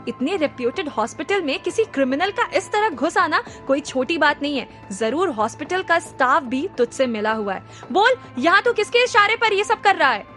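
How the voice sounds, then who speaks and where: A woman voicing an enraged, accusing man who shouts threats and mixes English into Hindi. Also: very high voice, lively intonation, moderate pace, few pauses, faint music behind, exaggerated voice. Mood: furious. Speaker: figure in a hospital thriller story.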